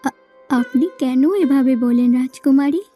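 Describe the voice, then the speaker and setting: A woman's voice: high voice, level tone, a little slow, warm tone. A woman voicing a respectful, soothing palace maid in a fairy-tale cartoon, apologetic and deferential. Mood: gentle, consoling. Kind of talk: cartoon dialogue. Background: background music.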